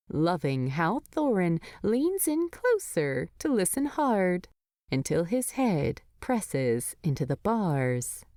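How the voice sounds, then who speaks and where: Expressive, unhurried woman storyteller. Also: fairly low pitch, no room sound, clean studio sound. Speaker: fan fiction narrator. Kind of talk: expressive scripted story narration.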